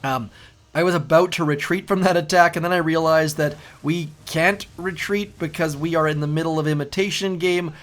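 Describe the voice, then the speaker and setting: A man's voice: fairly high pitch, fairly even intonation, normal speed, fairly bright tone. A middle-aged man with a youthful-sounding voice, hosting casual gameplay commentary in a chatty, rambling way and repeating his words. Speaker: game channel host.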